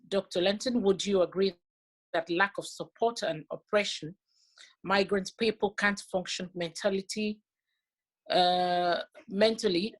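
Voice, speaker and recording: A woman facilitating an online masterclass, politely reading out audience questions and thanks, sometimes haltingly. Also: mid-range voice. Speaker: facilitator, moderator.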